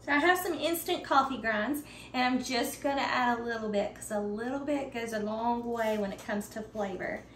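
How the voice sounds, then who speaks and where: A woman's voice: a little high, normal speed, a bit bright, slight background noise. Chatty woman baking in her home kitchen. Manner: chatty, upbeat, talks straight to viewers. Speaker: baking vlogger. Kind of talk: casual baking tutorial.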